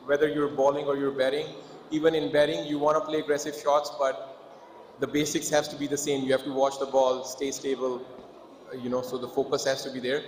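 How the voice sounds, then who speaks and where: A cricketer giving practical advice at a gala question session, talking steadily with many fillers. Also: male voice, mid-range voice, level tone, brisk, a little hiss or hum. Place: banquet hall. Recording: echoey hall reverb.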